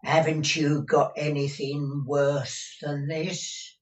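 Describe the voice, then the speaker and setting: A man voicing a deaf, blunt, cantankerous old housekeeper woman in a storybook reading, with drawn-out querulous rustic speech. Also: on the high side, little pitch movement, pitched above the performer's own voice. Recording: distant, echoey room recording.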